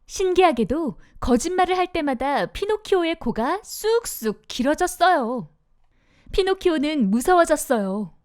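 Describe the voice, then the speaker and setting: A woman narrating a scripted fairy tale for young children in an expressive storytelling manner. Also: sits high, measured pace, no room sound.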